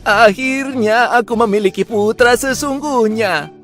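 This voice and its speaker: A woman voicing a kindly, worried elderly father with a slow, tender way of talking in a children's cartoon story. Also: fairly high pitch, soft background music, close to an everyday voice. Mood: happy.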